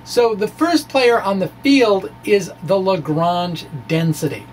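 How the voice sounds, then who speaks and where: A middle-aged man presenting a physics blog as a dramatic reading, with deliberate, theatrical phrasing. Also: sits high, soft background music. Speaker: science blogger and presenter. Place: small indoor room with bookshelves. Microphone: microphone on a stand in front of him. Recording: clear steady background noise.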